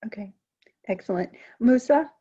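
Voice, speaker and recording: Female moderator, higher voice, husky and dark, muffled call audio; brisk. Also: animated. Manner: brisk, calls on participants by name. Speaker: meeting moderator. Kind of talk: hosting an online meeting.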